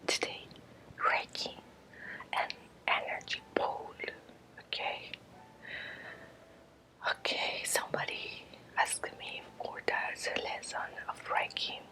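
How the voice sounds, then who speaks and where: Woman, breathy whisper, native Spanish speaker, very slow halting phrases, ASMR guided lesson. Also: rough recording. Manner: slow, halting, short phrases with long pauses. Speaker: Reiki teacher.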